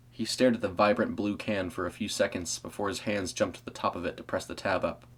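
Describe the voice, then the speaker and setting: A man's voice: deep voice, fairly bright tone. A man reading scripted story narration as the narrator of an audio tale.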